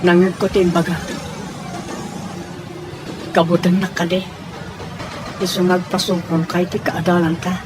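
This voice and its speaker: A man's voice: high voice, flat delivery, normal speed, long pauses, over music, natural voice. A man acting an urgent, helpful fellow who insistently urges his companions along in an audio drama. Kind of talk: Ilocano radio-style audio drama acting.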